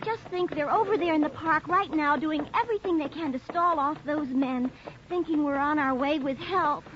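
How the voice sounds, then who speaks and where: A woman's voice: high-pitched, normal speed, lightly acted. A woman playing a fretful, plaintive young companion in 1940s radio drama, complaining and exclaiming with worry. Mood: discouraged. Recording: muffled, noisy 1940s radio recording.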